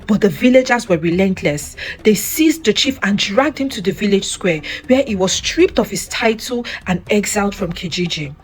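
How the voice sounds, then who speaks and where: A woman narrating a scripted folktale in an expressive, theatrical way. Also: medium pitch, moderate pace, few pauses, slightly rough. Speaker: narrator, storyteller. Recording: music under the voice. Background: background music.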